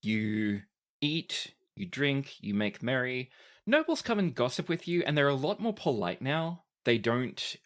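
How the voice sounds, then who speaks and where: A game master narrating a tabletop fantasy game in a deliberate, descriptive storytelling manner. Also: mid-range voice, very animated, fairly bright tone.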